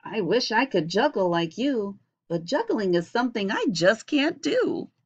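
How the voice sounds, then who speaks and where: A woman's voice: mid-range voice, sing-song, measured pace, breathy edge, full character voice. A woman voicing a friendly, wistful young male platypus in a simple, plaintive storybook manner. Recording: dull lo-fi webcam recording.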